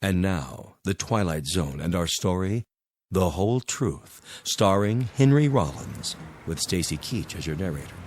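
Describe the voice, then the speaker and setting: Radio drama announcer: deep bass register, weighty, solemn, formal. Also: male voice, lively intonation, unhurried, long pauses, a little mellow.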